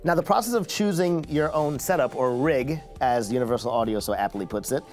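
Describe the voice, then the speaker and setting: A man's voice: medium pitch, expressive, a little fast, a little mellow, soft background music. A man, hosting a gear review in a conversational way. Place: small acoustically treated studio, indoors.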